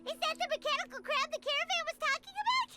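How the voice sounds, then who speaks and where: A woman voicing a small, excitable, feisty childlike floating companion in a video game, with a squeaky, exclamatory delivery. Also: fast talker, music in the background. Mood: surprised. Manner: exclamatory, bossy.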